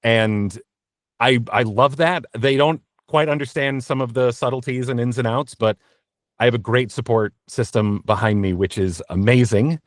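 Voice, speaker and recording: Man, interview guest, candid, reflective, halting delivery with self-corrections, medium pitch, dry sound. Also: no room sound. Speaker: interview guest, voice actor and narrator. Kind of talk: candid conversational interview answers.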